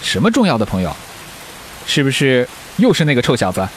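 A man's voice: mid-range voice, wildly swooping pitch, brisk, low-quality recording. An actor in a radio drama playing a stern, protective middle-aged father, lecturing firmly. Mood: stern, disapproving. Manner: firm, lecturing. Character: stern, protective widowed father of a teenage girl. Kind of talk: Chinese radio drama acting. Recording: faint hiss, rain-like noise.

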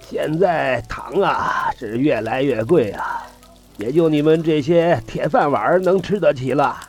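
A man playing a chatty, courteous trader in an audio drama, wheedling in colloquial, drawn-out sales patter with a slightly husky voice. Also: fairly high pitch. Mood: grumbling. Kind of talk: Chinese audio drama dialogue.

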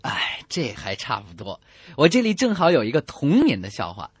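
Animated woman hosting radio comedy. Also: very deep voice, moderate pace, husky. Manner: animated, teasing, breaks into song. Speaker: comedy radio show co-host. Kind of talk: playful scripted joke-telling banter.

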